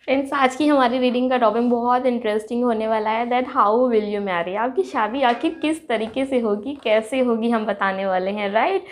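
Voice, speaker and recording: A woman hosting a casual tarot reading with a sore throat, clearing her throat often and chatting excitedly to her viewers as friends. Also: a little high, normal speed, hardly any pauses. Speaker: tarot reader, channel host.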